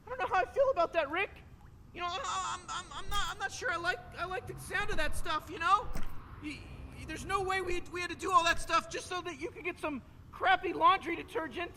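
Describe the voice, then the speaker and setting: A jittery teenage boy with an extremely high, bright voice who trips over his words and whines at every turn. The voice carries a reverberant room tone with faint noise behind it. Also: a little fast, exaggerated voice. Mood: uneasy. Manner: stammering, anxious, whiny. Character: nervous stammering teenage boy sidekick. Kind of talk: improvised comedic game voice-over.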